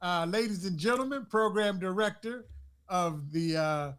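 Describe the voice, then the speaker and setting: A man's voice: sits high, measured pace, clear, resonant tone. A man hosting a casual football talk show, energetically calling on viewers and bringing in guests. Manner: energetic, hypes the audience. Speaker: host.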